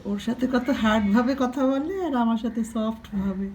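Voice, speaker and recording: A woman's voice: on the high side, normal speed, darkish tone. Woman chatting casually over noisy background. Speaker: pet owner vlogger. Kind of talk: casual vlog commentary about pet cats. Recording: noisy home-video recording with cat sounds.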